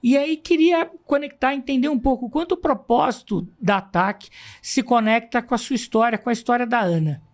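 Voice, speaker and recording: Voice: mid-range voice, moderate pace, hardly any pauses. A host asking long, rambling interview questions that are often tagged with "né".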